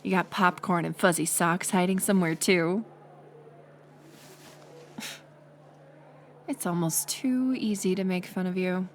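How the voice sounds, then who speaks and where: A young woman playing a mocking college bully in an audio roleplay, sarcastic and teasing, with a hidden softer side. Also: on the low side, fairly even intonation, moderate pace, a little hiss or hum, natural voice. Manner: sarcastic, teasing, scoffing with sighs and breathy asides. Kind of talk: audio roleplay acting, intimate one-sided dialogue.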